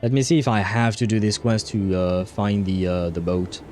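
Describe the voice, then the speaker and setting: A man's voice: on the low side, fairly even intonation, normal speed, darkish tone, faint music behind. A man giving casual livestream commentary as he plays a game, muttering to himself and swearing now and then. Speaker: livestream host.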